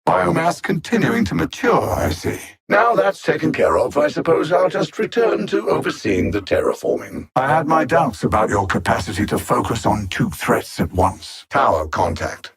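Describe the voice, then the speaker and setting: An actor playing a cold, condescending alien machine intelligence bent on conquest, a video game villain who speaks with measured menace and sardonic understatement. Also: male voice, medium pitch, very animated, vocal fry, slightly rough, heavily acted. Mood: stern, menacing, then disdainful.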